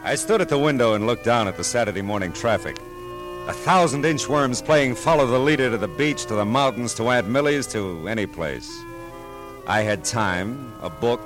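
An American actor playing a tough, world-weary private detective in 1950s radio noir, wry and hard-boiled in delivery. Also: male voice, medium pitch, animated, moderate pace, music in the background, close to an everyday voice. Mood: wry, narrating. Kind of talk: 1950s radio noir acting, first-person narration.

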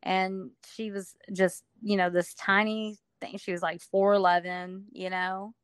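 A woman's voice: medium pitch, flat delivery, measured pace, fairly bright tone, clean tone, no room sound. A middle-aged woman from Alabama, telling her story as a guest in a casual interview, chatty with many fillers.

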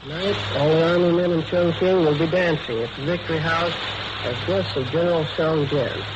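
A soldier, a man from Kansas, gives a scripted radio greeting with a fairly high voice. The 1940s shortwave relay is muffled and loud with static. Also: fairly even intonation, a little fast. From Kansas, United States. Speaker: American soldier overseas.

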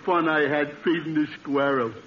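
An actor playing a dim-witted, good-natured barfly, hesitant and oblivious, in 1940s radio comedy style. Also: male voice, on the high side, fairly even intonation, moderate pace, over a noisy crowd, natural voice. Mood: cheerfully oblivious. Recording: muffled old radio recording, studio audience.

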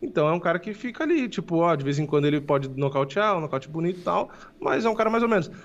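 Fast-talking Brazilian man, lo-fi sound. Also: on the high side, animated. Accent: Brazilian Portuguese. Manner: fast, analytical, lots of "né" and "tipo". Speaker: fight analyst co-host. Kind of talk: casual MMA discussion podcast.

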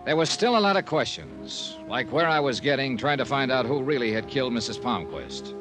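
A man's voice: mid-range voice, big pitch swings, fairly quick, over music, lightly acted. Man playing a wry hardboiled American radio detective. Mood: brisk, narrating. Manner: hardboiled, sardonic, clipped. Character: wry, tough, self-assured male private insurance investigator. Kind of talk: old-time radio drama acting and first-person narration.

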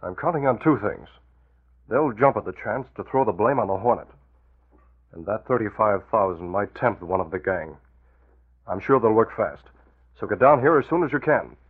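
Calm, low-voiced masked vigilante. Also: male voice, moderate pace, breathy edge. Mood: brisk, confident. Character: masked vigilante hero, calm, commanding man. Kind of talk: 1940s radio drama acting. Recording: old radio recording, muffled narrow band.

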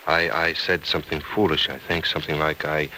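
An actor playing an earnest, lovelorn man in an old-time radio soap, wry and halting, on a noisy vintage recording. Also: extremely low pitch, level tone, pushed and bright, exaggerated voice. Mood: rueful. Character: earnest, lovelorn man torn about leaving town.

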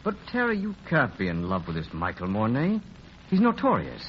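Pleading suitor, dramatically expressive. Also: male voice, on the low side, moderate pace. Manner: earnest, pleading. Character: devoted, pleading rejected suitor, generous and anxious. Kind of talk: vintage radio drama acting. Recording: dull, faintly noisy old radio recording.

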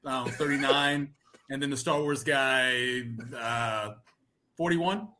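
A man in his fifties joins a podcast as a guest and jokes around in a wry way. His voice is bright and forceful, with a faint noise underneath. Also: mid-range voice, takes it slowly. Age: 50s. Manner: wry, joking. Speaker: guest, sports broadcaster. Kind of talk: casual podcast interview.

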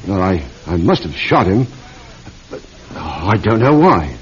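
An actor playing a bewildered, shaken aristocratic British publisher in an old radio drama, hesitant and refined. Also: male voice, low voice, very animated, unhurried, slightly rough, heavily acted. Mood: shaken, distraught. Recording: muffled old radio recording.

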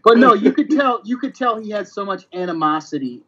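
Male podcast host, on the high side, profane and slangy, repetitive and blunt, dull lo-fi sound. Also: expressive, fairly quick, bright, forceful tone. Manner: blunt, repeats himself, slangy street talk. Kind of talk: casual, profane podcast chat.

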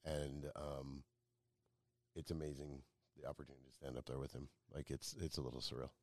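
A man's voice: a bass voice, level tone, brisk, no echo. A man as a podcast guest, telling anecdotes in a casual, effusive way. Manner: effusive, informal.

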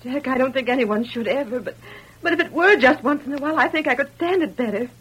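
An actress playing an anxious young wife who pleads and stammers, in an old-time radio drama. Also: female voice, sits high, expressive, fast, husky, rough recording. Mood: pleading. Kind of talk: 1930s radio drama acting.

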